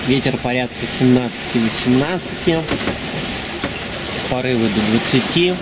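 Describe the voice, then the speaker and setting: A young man calmly narrating his sailing trip from the deck of a sailboat, in an unhurried, matter-of-fact way. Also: medium pitch. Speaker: sailing vlogger. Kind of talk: casual travel narration on a sailboat. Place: on a sailboat at sea, outdoors. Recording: loud wind and engine noise. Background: boat engine and water.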